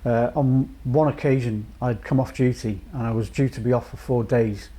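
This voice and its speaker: A man from North Wales in his late fifties, a charity chief executive giving a measured, reflective personal account with many pauses. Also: mid-range voice, steady tone, mellow. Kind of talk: personal testimony to camera.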